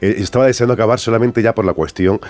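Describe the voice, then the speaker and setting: A man in his fifties with an Andalusian accent talks as a guest on a radio show. His voice sits very low, and he speaks quickly. He is loose and reflective, often adding fillers and tag questions. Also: a little mellow. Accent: Andalusian Spanish. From Andalusia, Spain. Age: 50s. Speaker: actor guest. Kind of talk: radio interview.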